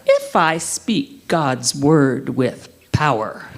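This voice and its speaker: Casting: female dramatic reader, deep register, highly expressive, deliberate and slow. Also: heavy background noise. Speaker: reader in a group dramatic reading. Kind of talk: theatrical scripture reading.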